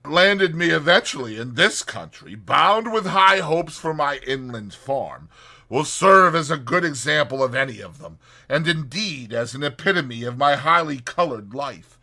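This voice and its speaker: Man playing a worldly seafaring rat, animated storyteller. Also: a little high, unhurried, gravelly, full character voice. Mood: nostalgic, relishing. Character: worldly seafaring rat, boastful, savoring old voyages. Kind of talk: dramatized audiobook character reading.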